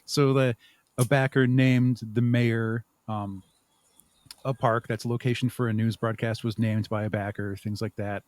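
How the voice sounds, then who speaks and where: A man's voice: mid-range voice, level tone, darkish tone, no room sound. Casual, thoughtful man with a dry, close sound. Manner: thoughtful, halting, chuckles while talking. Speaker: podcast guest, audio drama creator. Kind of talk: casual interview conversation.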